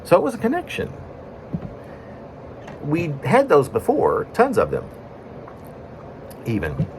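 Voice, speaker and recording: A man reading his own poem in a conversational storytelling way, breaking it into short phrases with pauses. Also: a little high, huge pitch swings, darkish tone, a little hiss or hum, rough recording. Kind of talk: spoken-word poetry reading, conversational storytelling.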